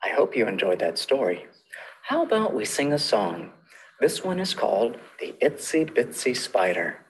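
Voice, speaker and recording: Lively sing-song librarian telling stories. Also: male voice, medium pitch, normal speed, a little hiss or hum, rough recording. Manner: gentle, sing-song storytelling, addresses children directly. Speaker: children's librarian. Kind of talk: children's story time narration.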